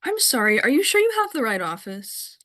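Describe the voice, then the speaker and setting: A woman's voice: on the high side, very animated, a little fast, fairly bright tone. A woman playing a bewildered, concerned therapist at a short film table read, hesitant and questioning.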